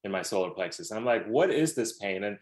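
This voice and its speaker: A man's voice: on the low side, lively intonation, fast talker, a little mellow. A man in his thirties from Dubai, a podcast guest telling his life story in a loose, rambling way with many fillers. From Dubai, Middle East. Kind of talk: casual storytelling interview.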